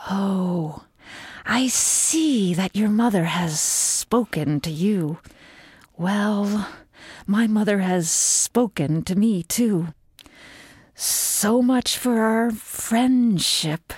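A woman voicing a young female snake who speaks slowly and softly, at times close to a whisper. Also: mid-range voice, breathy edge, no room sound. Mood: sly. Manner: slow, hushed, drawn out. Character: young female snake, sly and soft-spoken.

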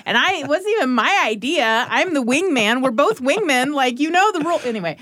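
A woman producer telling a personal story in casual radio chat at a studio desk, animated and quick to laugh. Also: high voice, no room sound.

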